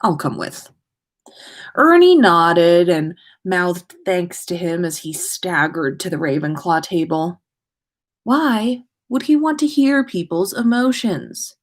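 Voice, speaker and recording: A woman host reading fan fiction aloud in an expressive way, with small stumbles and self-corrections. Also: lowish voice, takes it slowly. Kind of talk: expressive story reading, livestream.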